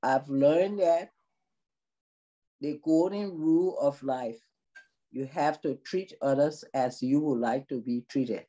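A woman's voice: sits low, mellow, muffled recording. A middle-aged woman from Liberia with a Liberian accent, a long-term care worker speaking earnestly in a video-call interview. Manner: slow, earnest, measured. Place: small room indoors.

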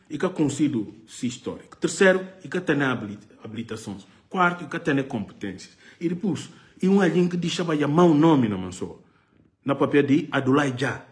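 Impassioned middle-aged man. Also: medium pitch. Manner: impassioned, gesturing, repeats phrases for emphasis. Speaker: political commentary host. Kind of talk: live political commentary to camera. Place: living room sofa, indoors. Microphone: phone camera at arm's length.

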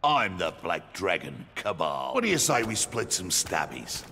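Crude Australian mercenary: low, swinging delivery, taunting game banter, echoey hall. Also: male voice, big pitch swings, a little slow, bright, forceful tone, slight background noise, full character voice. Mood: scornful. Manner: taunting, slangy. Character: cocky, crude male Australian mercenary crook. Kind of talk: video game fight banter.

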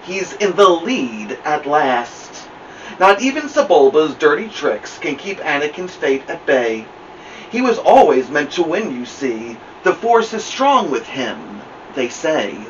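A middle-aged man reads a children's story aloud with dramatic emphasis. His fairly high voice swings widely in pitch. The sound is a little muffled, as if from a camera across a room. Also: big pitch swings, slow, fairly bright tone. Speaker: storytime host. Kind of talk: expressive children's picture-book reading. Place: indoor room at home, seated by a plant. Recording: muffled, noisy, distant camera mic.

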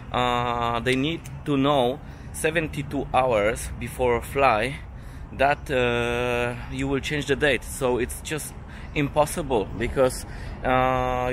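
A man in his thirties, a native Polish speaker, talking to a handheld camera in halting English with many fillers on an outdoor hotel rooftop. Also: mid-range voice. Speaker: travel vlogger. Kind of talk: casual vlog talk to camera. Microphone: handheld camera at arm's length. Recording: outdoor city noise behind.

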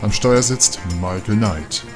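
A man's voice: on the low side, slow pace, over music. A man narrating an audio drama from a script.

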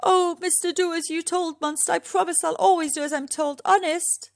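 A woman's voice: on the high side, sing-song, fairly quick, no room sound, studio quality, voice pushed up. A woman voicing a big young male monster in a children's storybook, blustery, whiny and easily scared. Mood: pleading. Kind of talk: children's storybook character reading.

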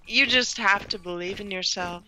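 A woman's voice: medium pitch, moderate pace, bright, forceful tone, low-quality recording. A woman playing a determined young sister in an audio drama, commanding and direct, over music.

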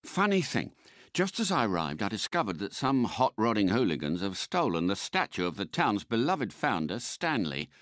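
A man's voice: on the low side, big pitch swings, normal speed, a bit bright, no room sound, clean studio sound. A man playing a suave British secret agent car in a video game, polite and unflappable, with clipped, precise diction. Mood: composed, wry.

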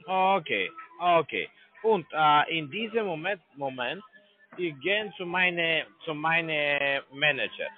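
Male phone scammer, broken halting German, slightly high voice, phone-line sound. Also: expressive. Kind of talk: phone call.

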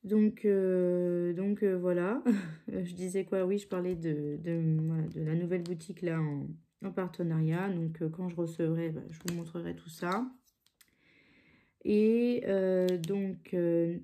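A woman talks casually from her craft table, her voice stuffy with a cold. The tone is low and very dark and mellow. She rambles, restarting her sentences and filling the gaps with hesitations. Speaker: craft channel host. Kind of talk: casual chat while crafting. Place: home craft table, indoors.

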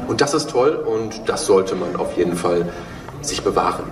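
A man's voice: deep voice, expressive, moderate pace, over music. A man in his thirties talking casually and a little hesitantly as a guest on a stage panel. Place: on stage in a dark event hall. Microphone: handheld microphone, heard through room. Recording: echoey hall, distant, crowd noise.

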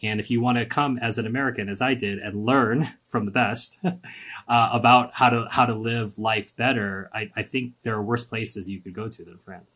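Husky-voiced man telling animated stories. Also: lowish voice, normal speed. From Singapore. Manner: animated, acts out quotes with voices. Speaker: guest. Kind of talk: podcast interview, casual storytelling.

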